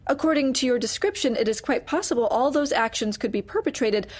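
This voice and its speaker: A fairly high female voice as a guarded office worker. She is careful and hedging, meek yet defensive. It plays as dark comedy audio drama. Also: animated, a little fast. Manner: careful, hedging, literal-minded. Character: guarded female employee, evasive and meekly defensive.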